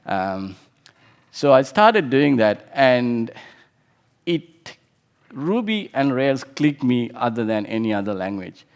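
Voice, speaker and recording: A man's voice: medium pitch, animated, slow pace, smooth. A man in his thirties from Sri Lanka, giving an informal conference talk with frequent restarts and fillers. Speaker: conference speaker.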